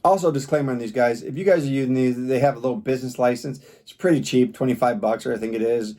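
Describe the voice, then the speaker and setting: A man's voice: mid-range voice, fast, warm tone. A man giving a casual, unscripted product review in a plain-spoken, rambling, informal way. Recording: clear background noise with clicks.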